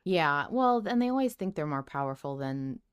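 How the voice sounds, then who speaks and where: Low, clear-voiced woman thinking aloud. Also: very animated, moderate pace, highs cut off. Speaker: podcast co-host. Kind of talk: casual discussion and speculation about an audio drama.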